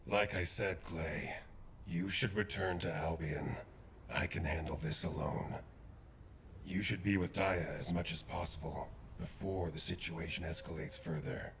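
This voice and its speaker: A very deep-voiced calm soldier. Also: male voice, unhurried, noisy background. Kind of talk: video game dialogue.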